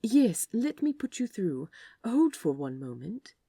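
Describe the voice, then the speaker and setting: A woman's voice: medium pitch, big pitch swings, unhurried, vocal fry, warm tone, breathy edge, no room sound, studio quality, pitched above the performer's own voice, full character voice. A woman voicing a polite, fussy male office receptionist with a courteous, practiced phone manner in an audiobook. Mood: polite, brisk. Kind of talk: audiobook character voice.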